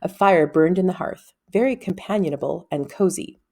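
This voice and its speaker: A woman reading a children's fantasy novel aloud as an expressive first-person narrator. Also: lowish voice, normal speed. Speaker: audiobook-style reader.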